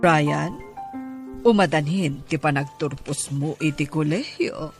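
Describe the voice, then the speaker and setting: A woman's voice: low-pitched, slow pace, over music. A woman playing a caring, coaxing mother of a grown son in an Ilocano drama podcast, speaking with an Ilocano accent. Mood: worried.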